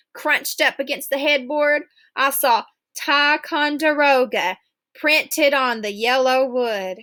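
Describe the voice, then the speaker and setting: A woman reading a children's novel aloud as an expressive storybook narrator. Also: high-pitched.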